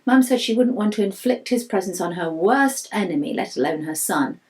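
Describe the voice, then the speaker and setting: A woman's voice: medium pitch, expressive, normal speed, a little mellow, close to an everyday voice. Woman reading as a candid, anxious young schoolboy. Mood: worried, confiding. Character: anxious, candid Year 6 schoolboy writing his diary. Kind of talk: first-person children's audiobook narration.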